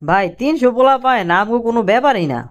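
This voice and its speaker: An eager young Bangladeshi errand boy from a cartoon, acted by a man. His voice is very high and clean, and he talks fast in colloquial, protesting bursts. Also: animated. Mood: boastful. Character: eager, naive young male political party errand boy. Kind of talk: cartoon dialogue.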